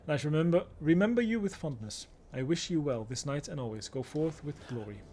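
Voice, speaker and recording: A man reading aloud a solemn, courteous exile from a video game, formal and measured, with music behind. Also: on the high side, animated, mellow, slight background noise, lightly acted. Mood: solemn, fond. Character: solemn, courteous exile bidding a fond farewell.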